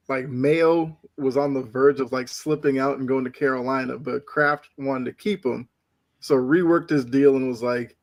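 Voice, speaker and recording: A man on a casual sports podcast panel who explains his points at length in a measured way. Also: mid-range voice, a little mellow.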